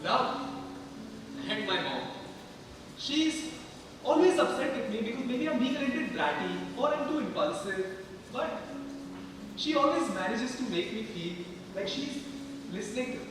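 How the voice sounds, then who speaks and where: A man's voice: extremely high pitch, background noise. A man acting in an amateur stage play as an impulsive, misunderstood sixteen-year-old. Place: large hall stage. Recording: very echoey hall, distant, loud music.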